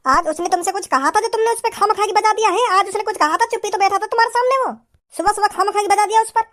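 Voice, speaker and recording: A woman's voice: very high voice, quick pace, a bit bright. A woman playing a plain-spoken village mother in a comedy cartoon. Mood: reproachful.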